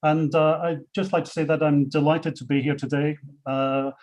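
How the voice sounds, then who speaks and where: A Scottish man speaking on a video call, his voice set a little high for a man. He presents awards in a measured, halting way, padding his sentences with ums and uhs and often restarting them. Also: flat delivery, darkish tone. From Scotland. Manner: hesitant, many fillers and restarts. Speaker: CEO presenting industry awards. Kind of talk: webinar remarks, unscripted.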